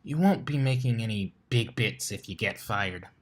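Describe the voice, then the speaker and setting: A man's voice: lowish voice, animated, moderate pace, slight background noise, lightly acted. Man voicing a brash, teasing female pegasus pony. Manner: casual, dry, teasing.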